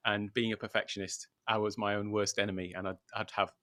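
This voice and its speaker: A man's voice: on the low side, flat delivery, fast, fairly bright tone, no echo, studio quality. A British man from the UK, a guest talking loosely and unscripted over a video call, with many filler words. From United Kingdom. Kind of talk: casual livestream interview. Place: at home in Thailand.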